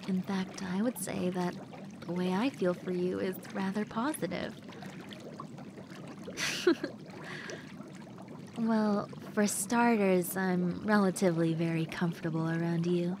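Woman acting unhurried, flirty crush over bubbling water. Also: on the low side. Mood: shyly teasing. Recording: steady running water noise behind voice. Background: bubbling hot tub water sounds.

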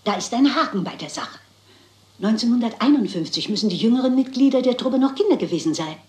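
An actress playing a shrewd, unflappable elderly lady detective in a German crime radio play, speaking precisely and calmly. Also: on the high side, fast, lightly acted. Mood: matter-of-fact, explaining. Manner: precise, composed, matter-of-fact. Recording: roomy, echoing radio play sound.

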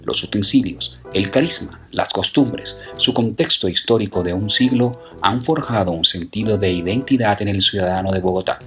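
A man narrating a short documentary in an even, read-aloud manner. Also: lowish voice, normal speed, few pauses, faint music behind. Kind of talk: scripted narration.

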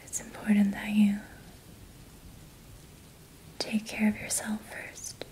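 A young woman whispering gently and reassuringly in a guided ASMR relaxation, leaving long pauses. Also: medium pitch, monotone, poor audio. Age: young adult. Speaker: ASMR host doing a healing roleplay. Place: small indoor room at night.

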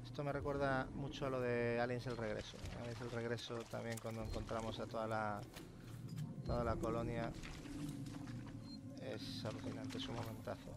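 Loud game noise runs behind a man with a Spain accent. He commentates his play casually in a mid-pitched voice, with plenty of slang and swearing. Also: little pitch movement, normal speed. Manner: colloquial, sweary. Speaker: gamer, channel host.

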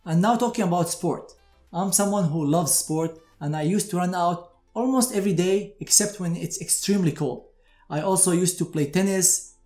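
Higher-voiced man explaining in a roomy space. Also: darkish tone. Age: 30s. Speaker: doctor presenting advice to medical graduates. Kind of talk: scripted explainer to camera. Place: indoor room with shelves, seated. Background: music.

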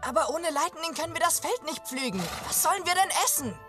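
A woman's voice: very high voice, expressive, fairly quick. A woman voicing a timid, worried peasant boy in a dubbed cartoon. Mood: pleading. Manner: pleading, anxious. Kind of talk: cartoon dubbing. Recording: loud music score underneath.

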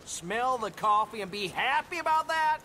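Bright, high-voiced cocky soldier. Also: male voice, lively intonation, normal speed, a little hiss or hum, heavily acted. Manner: folksy, cocky. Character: brash, cheerful, folksy male army grunt, gung-ho.

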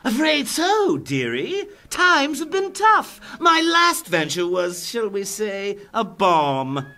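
Comic-book clown villain, mocking blackmailer, cackling, unhurried drawl, very animated pitch. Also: male voice, exaggerated voice. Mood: gleeful scheming. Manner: taunting, drawling, breaking into cackles. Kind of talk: motion comic villain acting.

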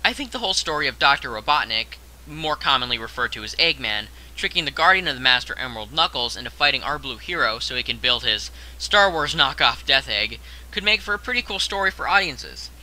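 A man's voice: mid-range voice, lively intonation, a little fast, bright, forceful tone, poor audio. A young man narrating a scripted top-ten countdown as host, in a conversational way that addresses the viewer directly.

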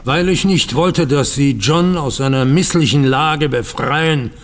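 An actor playing a dutiful, aggrieved banker, formal and earnest, in a German audio drama. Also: male voice, on the high side, measured pace, big-room sound, natural voice. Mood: explaining.

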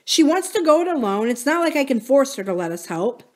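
A woman's voice: medium pitch, sing-song, moderate pace, darkish tone. A woman voicing a loyal, plain-spoken boy with a blunt, exasperated manner in an audiobook story.

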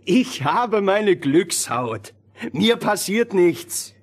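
An actor playing an eager, boastful teenage miller's son, impetuous and excitable, in a fairy-tale radio play. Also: male voice, high voice, drawn-out words, heavily acted. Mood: defiant. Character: eager, cocky fifteen-year-old miller's son longing for adventure.

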